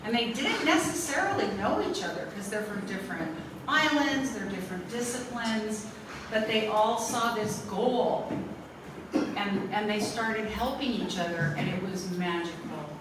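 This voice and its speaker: A woman's voice: mid-range voice, lively intonation, measured pace, background noise. Woman, organizer's thank-you remarks, heartfelt and rambling, distant mic in a reverberant hall. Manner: warm-hearted, rambling, grateful. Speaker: program organizer giving remarks.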